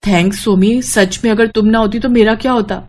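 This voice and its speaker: A woman voicing a kind, patient young daughter-in-law in a cartoon story, gentle and encouraging. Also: fairly high pitch, steady tone, a little fast, natural voice. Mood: fretful, grateful. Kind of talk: Hindi animated moral-story voice acting.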